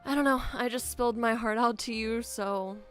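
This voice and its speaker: A woman's voice: fairly high pitch, normal speed, faint music behind, close to an everyday voice. A woman playing a guarded but caring young best friend in an improvised game roleplay, careful and hesitant in how she talks. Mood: reluctant. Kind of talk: improvised Minecraft roleplay acting.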